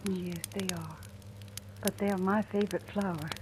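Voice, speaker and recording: An actress playing a timid, devoted young woman in a 1940s style radio drama, speaking softly and hesitantly. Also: mid-range voice, fairly even intonation, normal speed, slight background noise, natural voice, low-quality recording. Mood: nervous. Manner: hesitant, soft-spoken. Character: timid but devoted young woman, new household employee. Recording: old radio recording, music behind.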